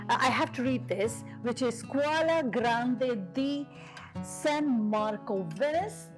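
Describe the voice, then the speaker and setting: A woman host with an Indian accent and a somewhat high voice. She talks informally and chattily, as in a relaxed conversation with a guest. Clear music plays behind her. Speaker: programme host and interviewer. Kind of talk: conversational interview hosting.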